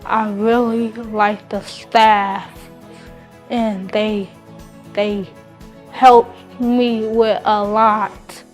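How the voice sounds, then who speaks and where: A woman's voice: mid-range voice, level tone, very slow, soft background music. A young woman in her twenties speaking in short, simple sentences about her program. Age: 20s. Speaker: program student.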